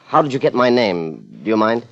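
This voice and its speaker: An actor playing a guarded, politely hesitant investor questioned by police in a 1950s radio crime drama. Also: male voice, mid-range voice, huge pitch swings, normal speed, vocal fry. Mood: uneasy, rueful. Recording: muffled vintage radio broadcast, music.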